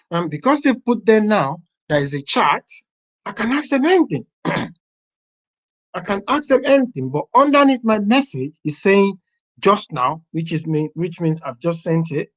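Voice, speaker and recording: A software testing teacher walking a class through a website step by step, thinking aloud as they browse. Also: medium pitch. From Nigeria. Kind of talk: live class walkthrough. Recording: dull lo-fi online class audio.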